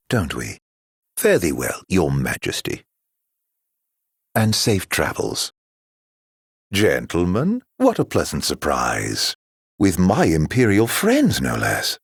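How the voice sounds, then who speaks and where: An actor voicing a sly, mock-courteous villain in a video game, with a florid, teasing, theatrical drawl. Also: male voice, very deep voice, gravelly, breathy edge, dry recording, studio quality, full character voice. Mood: smugly reassuring.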